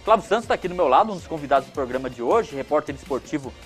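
A man in his thirties, a sports reporter in a TV studio, talking in a lively way with restarts. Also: fairly high pitch, rapid-fire, soft background music. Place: indoor TV studio.

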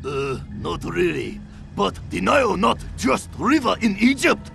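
An actor playing an excitable, cowardly but boastful ninja guard in a video game, with a gravelly voice, Japanese accent and broken English. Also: male voice, sits high, measured pace, exaggerated voice. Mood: puzzled. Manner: broken English, exaggerated delivery. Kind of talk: video game cutscene acting.

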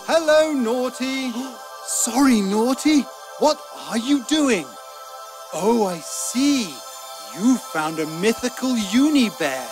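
A man's voice: very high voice, lively intonation, slow, exaggerated voice, rough recording. A man playing a cheery, mock-kindly British narrator of a children's storybook video game, theatrical and sweetly condescending. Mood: delighted wonder. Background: music.

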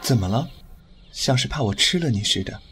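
A man's voice: medium pitch, lively intonation, a little fast, fairly bright tone, a little hiss or hum, lightly acted. A man playing a tender, teasing, devoted young lover in an audio drama.

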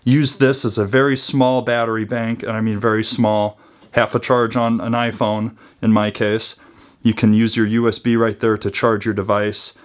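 Low-voiced man in casual review mode. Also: flat delivery, normal speed. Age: 30s. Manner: informal, conversational, checks in with "right?". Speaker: host of a preparedness gear channel. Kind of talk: casual product review to camera. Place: small indoor room with built-in shelves.

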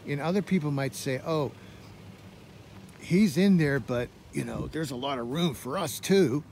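A man's voice: fairly high pitch, a little slow, a little mellow. A man giving casual running commentary as a camping vlogger, thinking aloud in short phrases. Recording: noisy, car and vehicle sounds.

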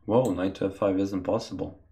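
A man's voice: deep voice, steady tone, moderate pace, dark tone. A young man from Croatia, streaming live chess from a small room and thinking aloud about moves as he chats with viewers through a webcam mic. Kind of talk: live game commentary with chat.

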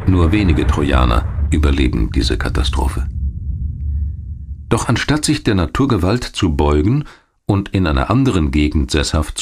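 A man reading scripted documentary narration in a measured, clearly articulated storytelling manner. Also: low-pitched, darkish tone. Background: music behind the voice.

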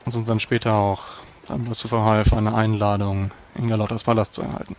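A man speaking formally and deliberately as a proud, pious knight in a tabletop role-playing game. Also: on the low side, little pitch movement. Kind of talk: in-character role-play narration. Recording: clear background noise.

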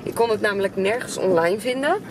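A woman's voice: mid-range voice, animated, brisk, background noise. A woman in her thirties chatting to the camera in a casual family vlog, at home and in the car. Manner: chatty, talking to camera while busy. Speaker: vlogger. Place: home kitchen, then car and windy outdoors. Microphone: camera at arm's length.

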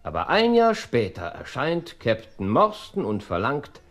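An actor in a German radio drama playing a keen, self-assured gentleman detective with an incisive, probing manner. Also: mid-range voice, huge pitch swings, unhurried. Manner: incisive, deductive, interjecting.

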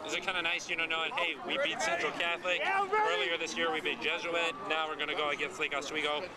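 A man's voice: fairly high pitch, fast talker, over a noisy crowd. A man reporter asking chatty, leading postgame questions. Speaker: sports reporter. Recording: loud outdoor stadium noise.

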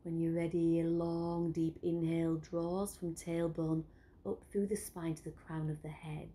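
A woman leading a guided breathing meditation, giving slow, soothing instructions that often drop to a whisper. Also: sits low, flat delivery, warm tone.

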